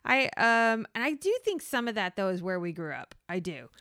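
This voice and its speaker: A woman's voice: medium pitch, sing-song, normal speed, fairly bright tone, no echo, studio quality. A woman telling personal anecdotes in casual conversation as a podcast co-host, acting out the remarks she quotes.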